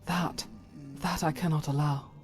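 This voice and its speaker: A woman's voice: low-pitched, fairly even intonation, unhurried. Woman acting as a solemn, devout fantasy warrior woman, music behind. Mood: solemn, regretful. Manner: formal, archaic, earnest phrasing. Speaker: voice actor for a game companion. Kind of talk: dramatic scripted fantasy game dialogue.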